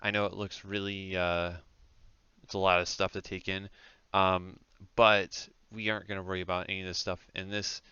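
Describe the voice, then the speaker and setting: Male tutorial presenter, informal and halting, bright crisp delivery on a close, lo-fi headset. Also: sits low, flat delivery, no room sound. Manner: informal, hesitant step-by-step explaining. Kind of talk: casual software tutorial narration. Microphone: close headset microphone. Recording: dull, lo-fi headset audio.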